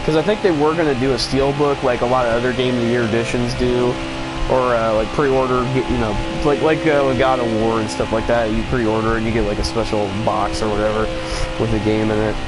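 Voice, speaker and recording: A young man with a hoarse, congested voice, casually commentating a racing game as a streamer, rambling, excitable and swearing now and then. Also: medium pitch, low-quality recording. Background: loud music.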